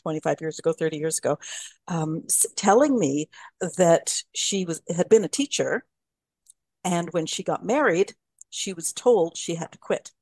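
An older woman hosting a podcast, telling anecdotes in a thoughtful, measured way. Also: fairly low pitch, expressive, darkish tone, husky, no room sound. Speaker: podcast host. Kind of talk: casual conversation.

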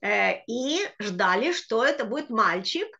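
Middle-aged woman teacher, bright voice, patient and deliberate, lo-fi video call. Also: fairly high pitch, lively intonation, gravelly. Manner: patient, slow and deliberate when sounding out words. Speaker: Hebrew reading teacher. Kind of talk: online language lesson. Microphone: computer mic on a video call. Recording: dull lo-fi video call audio.

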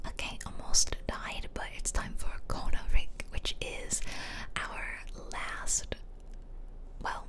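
Whispering woman, slow and close to the mic. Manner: playful, casual murmured asides. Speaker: gaming streamer. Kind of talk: whispered ASMR game commentary. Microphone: close ASMR microphone, ear to ear.

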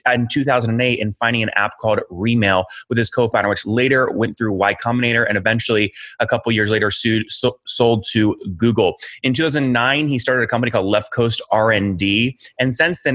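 Fast-talking male interview host. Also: mid-range voice, a bit bright. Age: 30s. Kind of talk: brisk podcast interviewing. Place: room at home, video call.

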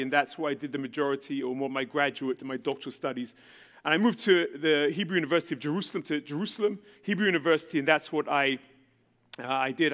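A man's voice: on the high side, fairly bright tone. A man from London with a London English accent, preaching as a guest speaker on a church stage in a conversational, self-deprecating way with frequent ums. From London, England. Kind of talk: sermon. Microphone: handheld microphone. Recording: long reverb in a church hall.

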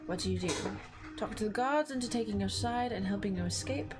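A woman's voice: mid-range voice, a little fast. A woman game streamer reading on-screen text aloud and chatting casually on a live stream. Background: game music.

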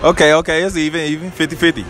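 A man's voice: fairly high pitch, little pitch movement, rapid-fire. A young man hosting a street interview in a shopping mall, joking around and laughing often in slangy banter. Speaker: host.

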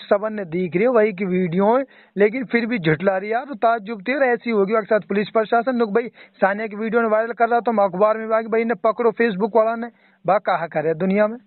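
High-voiced Mewati man, exasperated on the phone. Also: no room sound. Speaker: phone call participant. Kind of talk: casual phone chat. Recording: band-limited phone call recording.

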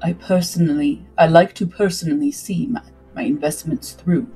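A woman voicing a solemn, intense male benefactor in a slow, formal manner for a pirate story. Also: deep voice, fairly even intonation, warm tone, slightly rough, voice pushed down, rough recording. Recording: music behind the voice.

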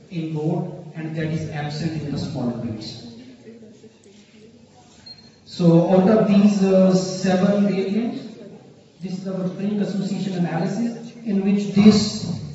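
A man's voice: sits high, mellow. Pakistani man lecturing in an echoey hall. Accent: Pakistani English. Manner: halting, many fillers and counted lists. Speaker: keynote speaker. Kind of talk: conference science talk. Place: large auditorium, at a podium. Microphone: podium mic, recorded from the audience. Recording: roomy hall, distant dull phone recording.

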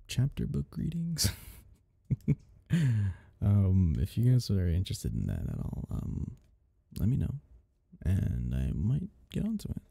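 A man's voice: fairly low pitch, creaky, dark tone, breathy edge, no room sound. A man chatting casually and a little hesitantly to his listeners, informal and self-deprecating. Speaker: ASMR channel creator. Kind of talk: casual outro chat to listeners.

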